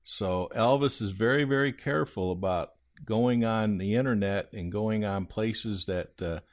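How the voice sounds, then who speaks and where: A man acts out an old Santa Claus with a fairly low voice. He is kindly and easygoing, telling things to children in a folksy, meandering way with small hesitations, as if on a homespun radio show. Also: a little slow, lightly acted. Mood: gentle, cautionary. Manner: rambling, hesitant, folksy storytelling. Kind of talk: improvised in-character answers on a children's radio-style podcast.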